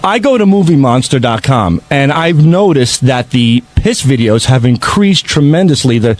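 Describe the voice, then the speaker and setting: A man's voice: mid-range voice, expressive, normal speed. A man on an unscripted radio panel, speaking defensively with stammers and repeated phrases as he argues. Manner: defensive, stammering, repetitive when arguing. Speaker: radio show regular.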